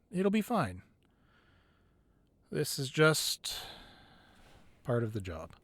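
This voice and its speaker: A man playing a weary but devoted, protective crime boss boyfriend in an intimate ASMR roleplay, speaking softly and close, at times breathy. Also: on the high side, no echo, full character voice. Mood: reassuring, wistful. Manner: soft, intimate, drifting into breathy whispers.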